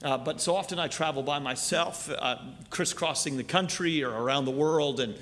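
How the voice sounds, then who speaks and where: A middle-aged man raised in Canada preaches from a church pulpit, earnest and full of fillers, his voice a touch higher than most men's. A long reverb from the sanctuary hangs behind his words. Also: normal speed, fairly bright tone. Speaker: missionary preacher. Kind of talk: sermon introduction.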